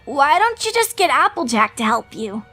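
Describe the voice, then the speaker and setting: A woman doing a bright, nervous young filly. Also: high voice, sing-song, moderate pace, soft background music, voice pushed up, full character voice. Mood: wary. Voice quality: bright, girlish. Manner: nervous, wary. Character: two wary young fillies, nervous.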